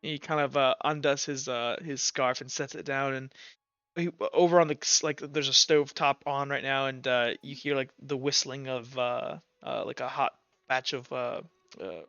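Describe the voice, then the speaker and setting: A man's voice: mid-range voice, fairly even intonation, moderate pace, a bit bright, dry recording, lo-fi sound. A young man narrating as game master of a tabletop roleplaying game, in a loose, rambling way. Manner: loose and rambling, many fillers.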